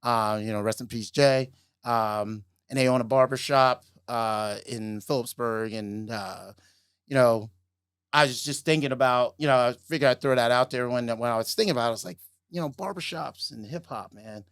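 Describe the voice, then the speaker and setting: Brief: middle-aged male co-host, raspy today, easygoing chat, mid pitch, dry close mic. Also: normal speed, fairly bright tone, no room sound. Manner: laid-back, filler-heavy, laughs often. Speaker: podcast co-host. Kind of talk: casual chat.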